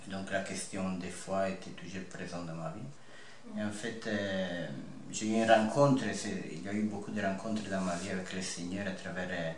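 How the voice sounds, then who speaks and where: Young male interviewee, Italian accent, low register, earnest halting testimony, slight noise behind. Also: steady tone. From north-east Italy (Friuli). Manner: earnest, halting phrasing with repeated words. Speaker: interview guest, seminarian about to be ordained priest. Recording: echoey room with faint background noise.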